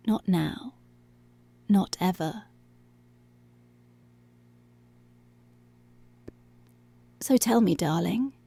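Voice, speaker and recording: A woman playing a commanding, seductive policewoman in an intimate ASMR roleplay, speaking slowly and deliberately in a breathy voice that often drops to a whisper. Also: mid-range voice, warm tone, no echo. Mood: insistent. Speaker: ASMR voice actor.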